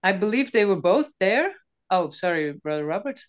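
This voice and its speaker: A woman's voice: medium pitch, big pitch swings, moderate pace. A woman in a discussion group, speaking hesitantly with many fillers. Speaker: group participant.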